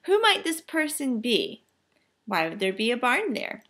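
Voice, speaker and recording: A woman reads a children's story in a teacherly, expressive way. She takes her time, and her melody rises and falls widely. Faint noise sits on the recording. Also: on the high side, a little slow. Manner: expressive, instructive, careful phrasing. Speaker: teacher demonstrating a read-aloud. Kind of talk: children's picture-book read-aloud with teaching questions.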